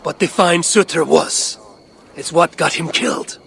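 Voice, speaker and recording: An actor playing a proud, scheming Norse god in a video game, speaking formally and persuasively. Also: male voice, on the high side, measured pace, creaky, a bit bright, a little hiss or hum. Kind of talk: video game dramatic acting.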